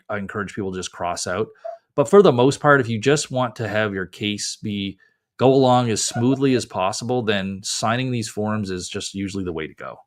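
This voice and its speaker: A man hosting a live call-in show as a lawyer, giving advice in a casual, conversational way. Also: on the low side. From Canada. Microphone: close mic, wearing headphones.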